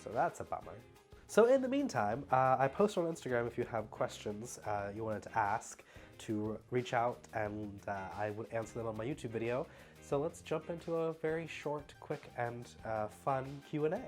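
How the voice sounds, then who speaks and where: A young man with a stuffy nose, talking casually at home in a rambling, halting way full of fillers. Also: medium pitch, lively intonation, darkish tone, faint music behind. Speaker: travel vlogger. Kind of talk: casual vlog Q&A.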